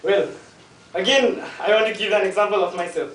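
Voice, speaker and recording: A man's voice: sits high, fairly quick, a bit bright, slight background noise. A young man gives a hesitant, joking class presentation and quizzes the class. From Nepal. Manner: hesitant, jokey, addresses the class. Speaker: student presenter. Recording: echoey classroom, mic far off.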